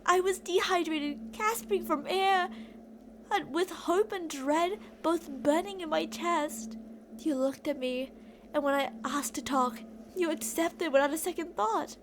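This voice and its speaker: A woman's voice: extremely high pitch, unhurried, a little hiss or hum. A woman playing an obsessive, delusional young captor in an intimate, rambling ASMR roleplay monologue addressed to a captive. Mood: breathless longing.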